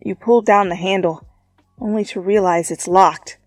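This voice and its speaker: A woman reading fan fiction as dramatic storytelling, with an expressive, playful delivery. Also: medium pitch, moderate pace, dry recording. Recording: background music under voice. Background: music.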